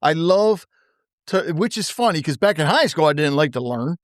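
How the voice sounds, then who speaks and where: A man's voice: fairly high pitch, expressive, normal speed, no echo, studio quality. A man hosting a podcast, upbeat and laughing often, first reading a scripted introduction and then chatting casually. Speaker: podcast host.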